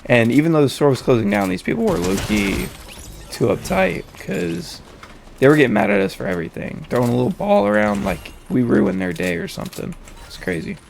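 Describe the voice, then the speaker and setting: A young man, slightly hoarse and stuffed up with a cold, telling a story in casual, slangy, sweary talk. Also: a little high, big pitch swings, brisk, a little hiss or hum. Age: young adult. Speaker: storytelling host.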